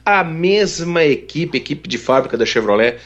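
Brazilian man, host, fairly high voice, chatty and animated, dull lo-fi sound. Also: normal speed. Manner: animated, chatty, laughs often. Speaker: motorsport show host. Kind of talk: casual podcast chat.

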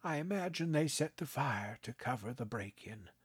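A man narrating a story, voicing a calm, frail old grandfather who speaks slowly and gently. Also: medium pitch, breathy edge, no echo, voice pushed up. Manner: slow, gentle, unbothered.

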